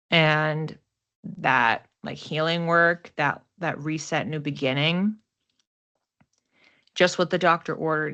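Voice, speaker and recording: A woman's voice: deep voice, fairly bright tone. A woman giving a casual, unscripted card and astrology reading, thinking aloud in a reflective, conversational way. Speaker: oracle card reader and astrology host.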